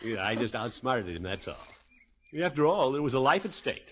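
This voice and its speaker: An actor playing a sly, blustery middle-aged uncle in a 1950s radio comedy, hemming and theatrical. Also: male voice, medium pitch, very animated, moderate pace, a bit bright. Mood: smug, sentimental. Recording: vintage radio recording, narrow band, hiss.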